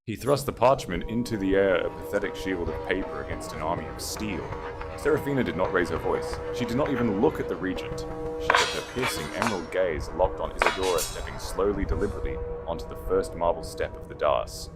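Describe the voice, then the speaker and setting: A man's voice: low voice, brisk. A man reading a scripted fantasy romance as a dramatic audiobook narrator, with music behind the narration.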